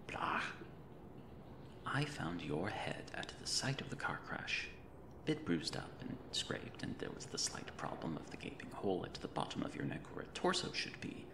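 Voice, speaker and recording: A man's voice: mid-range voice, vocal fry. A man playing a creepy yet cheerful mad reanimator in an ASMR roleplay, talking in a theatrical, fussy, rambling way with polite macabre humour. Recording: roomy echo with noisy ambient backdrop.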